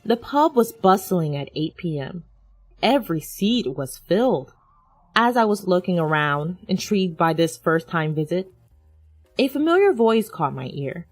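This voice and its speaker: A woman's voice: lowish voice, very animated, measured pace, no room sound, soft background music. A woman reading a scripted first-person dramatic story as its narrator.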